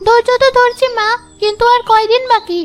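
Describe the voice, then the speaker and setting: A woman's voice: extremely high pitch, little pitch movement, brisk. A woman voicing an eager, stubbornly hopeful young village girl in a children's cartoon, with an earnest, pleading manner. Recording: faint music behind the voice.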